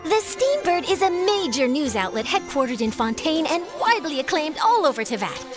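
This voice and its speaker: A woman's voice: high voice, big pitch swings, moderate pace. A woman voicing a perky, keen young news reporter in a video game, chirpy and theatrical. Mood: cheerful, proud. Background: music.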